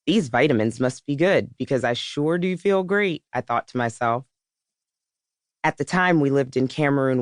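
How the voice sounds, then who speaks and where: A woman's voice: extremely low pitch, no echo. Female author-narrator, scripted audiobook read, first person, dry studio sound.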